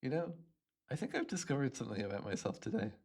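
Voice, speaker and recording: A man voicing a smug, playful hypnotist supervillain in an ASMR roleplay, gloating and teasing the listener in a gravelly voice. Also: mid-range voice, very animated, fast, darkish tone. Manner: gloating, teasing, theatrical, swooping between murmurs and exclamations.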